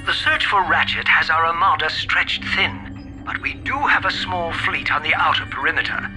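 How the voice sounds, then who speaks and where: An actor voicing a put-upon, sardonic robot butler in a video game, deferential yet snide. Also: male voice, fairly high pitch, animated, normal speed, over music, lightly acted. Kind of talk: video game cutscene acting.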